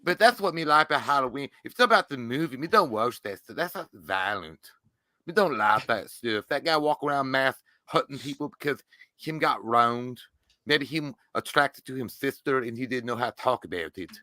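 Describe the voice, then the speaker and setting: A man putting on a simple-minded, gentle character who speaks in broken grammar, slow and singsong. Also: medium pitch, lively intonation, no room sound. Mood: goofy.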